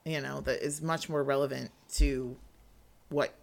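A woman co-hosting a casual podcast discussion, thoughtful and quick to laugh. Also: very deep voice, steady tone, creaky. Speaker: podcast co-host, music teacher.

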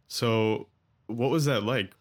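A man's voice: medium pitch, slow. Easygoing male podcast host. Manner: easygoing, joking, conversational. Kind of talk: casual interview chat.

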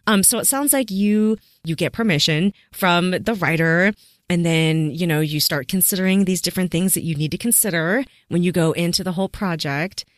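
A woman's voice: lowish voice, moderate pace, no room sound, studio quality. Informal, encouraging woman podcast host. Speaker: podcast interviewer. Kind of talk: casual interview chat.

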